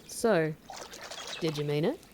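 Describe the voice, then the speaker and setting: A woman's voice: low-pitched, expressive, slow pace, a little mellow, a little hiss or hum. A woman voicing a proud, haughty young werewolf pack beta in an audio drama, speaking in a curt, formal, disdainful manner. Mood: probing, serious. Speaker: voice actor in an audio roleplay. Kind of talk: scripted audio drama acting.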